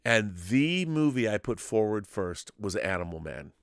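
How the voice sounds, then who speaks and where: A man's voice: lowish voice, sing-song, unhurried, vocal fry, no echo, studio quality. A man hosting a casual live stream, chatting in an enthusiastic, rambling way and reading out viewer messages.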